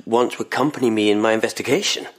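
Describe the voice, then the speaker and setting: Actor as a low-voiced, earnest police investigator. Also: male voice, fast talker, lightly acted. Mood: uneasy, guarded. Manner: hesitant, polite, guarded. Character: earnest, hesitant male police investigator, reserved and doubtful. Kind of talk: full-cast audio drama acting.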